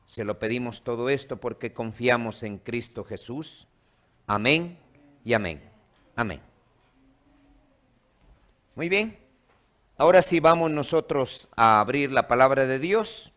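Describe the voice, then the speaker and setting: A man's voice: medium pitch, a little slow, pauses often, fairly bright tone. A man from Ecuador, a pastor preaching a sermon in a church and quizzing the congregation in call-and-response. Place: church hall.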